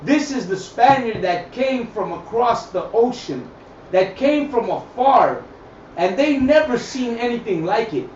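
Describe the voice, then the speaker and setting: A man's voice: very high-pitched, very animated, measured pace, darkish tone. Man, religious teacher, emphatic, repetitive, improvised lesson, dull sound, faint noise. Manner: emphatic, repeats phrases, checks in with listeners. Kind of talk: improvised scripture and history lesson. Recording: echoey, distant mic, dull, faint noise.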